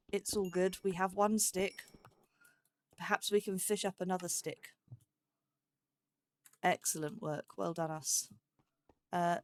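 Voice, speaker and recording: Lower-pitched woman chatting through a game. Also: a little hiss or hum. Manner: thinks aloud, chatty, talks to game animals. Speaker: gaming host. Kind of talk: casual let's play commentary.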